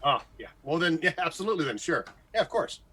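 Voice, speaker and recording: Guy acting jittery jealous radio host, bright voice, swoops all over, stammers and dodges, audio comedy. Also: fairly high pitch, big pitch swings, quick pace, husky, full character voice. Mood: flustered. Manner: stammering, gushing, evasive. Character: excitable, jealous, insecure male morning radio host.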